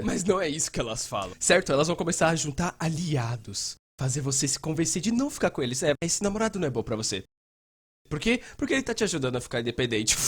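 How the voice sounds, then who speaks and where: A man's voice: a little high, husky. A Brazilian man dubbing a frank, casual psychotherapist who explains things in a conversational way and acts out bits of dialogue. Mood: mimicking, pointed. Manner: animated, acts out quoted dialogue, casual swearing. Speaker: fan dubber voicing a mental health educator. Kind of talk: dubbed conversational explainer talk.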